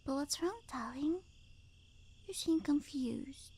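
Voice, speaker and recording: A woman playing a sweet, obsessive necromancer girlfriend in an intimate ASMR roleplay, cooing softly and often half-whispering. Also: sits high, animated, slow pace, exaggerated voice. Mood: sweetly doting. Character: doting, obsessive yandere girlfriend turned necromancer, sweet and unhinged.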